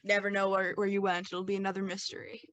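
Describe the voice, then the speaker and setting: A woman's voice: medium pitch, completely flat delivery, quick pace, crowd noise. Woman in casual, rambling podcast chat. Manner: rambling, self-correcting explanations. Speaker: podcast voice actor and sound designer. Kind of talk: casual panel chat, post-show commentary.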